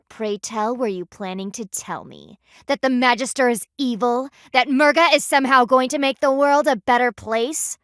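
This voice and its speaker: A woman's voice: a little high, dry recording, studio quality, close to an everyday voice. A woman voicing a stern, proud panda warrior in a video game, speaking formally and with haughty, precise diction. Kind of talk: scripted video game voice acting.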